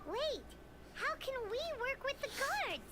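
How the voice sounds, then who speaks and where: A woman voicing a tiny, childlike fairy companion in a video game, earnest and squeaky, with music underneath. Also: sing-song, measured pace, heavy background noise, full character voice. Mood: worried protest. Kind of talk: video game character acting.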